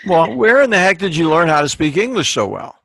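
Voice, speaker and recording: Man hosting a podcast, slightly high voice, dry close sound, recaps the guest's story. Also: sing-song, fairly quick, vocal fry, fairly bright tone, no echo. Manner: summarizing, folksy phrasing. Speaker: podcast host. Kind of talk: interview questions.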